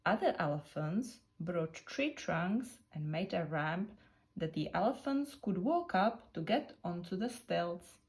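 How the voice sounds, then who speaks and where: A woman narrating a children's picture book in a deliberate, expressive storytelling manner. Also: on the low side. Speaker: storybook reader.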